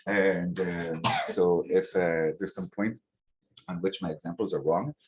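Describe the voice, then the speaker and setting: Deep-voiced man giving a relaxed lecture. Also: measured pace, highs cut off. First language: English. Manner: relaxed, lots of um and uh, rhetorical "right?". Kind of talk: academic lecture. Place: lecture hall. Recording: faint background noise, clicks.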